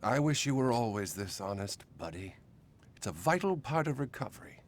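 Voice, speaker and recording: A video game therapist, patronising and blunt. His delivery is measured yet highly varied in pitch, over faint background noise. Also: very animated. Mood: blunt, judgmental. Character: male therapist, patronising, bluntly judgmental, greedy. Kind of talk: video game cutscene acting.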